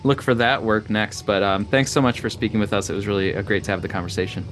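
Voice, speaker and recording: A man hosting an interview, talking in a friendly, relaxed way with frequent chuckles. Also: lowish voice, quick pace, background noise. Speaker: podcast host. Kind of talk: interview conversation.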